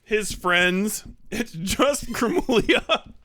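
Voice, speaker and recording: High bright-voiced man, blunt and scornful. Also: animated, very slow, dry recording, studio quality. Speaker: livestream host reacting to a video. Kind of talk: casual livestream reaction rant, heavy swearing.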